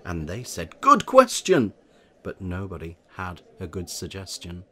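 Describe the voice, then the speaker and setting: A man narrating a children's storybook in verse, with theatrical, measured delivery. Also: sits low, little pitch movement. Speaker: audiobook narrator.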